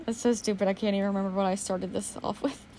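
A young woman hosting a casual solo podcast with a naturally low voice, talking in a candid, rambling way and swearing casually. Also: lively intonation, moderate pace, dark tone, slight background noise. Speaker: podcast host.

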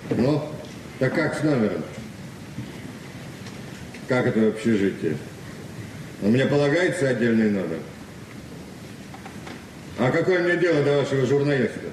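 Actor as polite modest male visitor, plain-spoken, medium pitch, vintage radio play, roomy hissy sound. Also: big pitch swings, moderate pace, pauses often, a little mellow, close to an everyday voice. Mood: put out. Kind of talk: naturalistic Soviet radio drama acting. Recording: echoey, distant, noisy 1970s radio recording.

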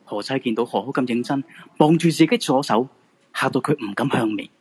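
A man's voice: medium pitch, a little fast, breathy edge. A man with a Hong Kong Cantonese accent narrating a radio drama in scripted, dramatic storytelling style.

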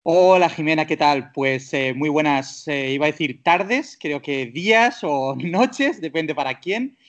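Spaniard with a fairly high voice. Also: male voice, expressive, a little slow. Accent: Spanish from Spain. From Barcelona area, Spain. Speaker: podcast guest. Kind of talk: casual interview chat.

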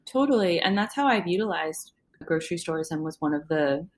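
Excited, chatty woman guest, low-pitched and relaxed. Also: fairly quick. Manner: excited, chatty, laughs easily. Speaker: guest, business founder. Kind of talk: casual interview chat.

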